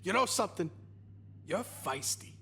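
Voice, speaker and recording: A creepy male gym teacher from a video game. His voice is bright and swings widely in pitch, with a smarmy, insinuating manner. There is faint noise behind it. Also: lively intonation, normal speed, creaky, heavily acted.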